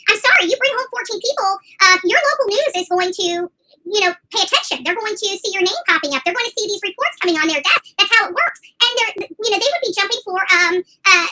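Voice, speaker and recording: Very high, fast-talking, bright-voiced woman. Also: slight background noise, rough recording. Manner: indignant, emphatic, repeats phrases for emphasis. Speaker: YouTube channel host covering missing persons cases. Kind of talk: casual commentary rant.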